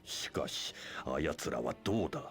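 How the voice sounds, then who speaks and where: An imperious, scheming leader of a church, deep and sweeping in pitch. He speaks in grandiose, archaic formal phrases, as in a dramatic video game scene, with music underneath. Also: big pitch swings, fast talker. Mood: grandiose, scornful. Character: imperious, scheming male church leader preaching his doctrine. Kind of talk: Japanese video game voice acting.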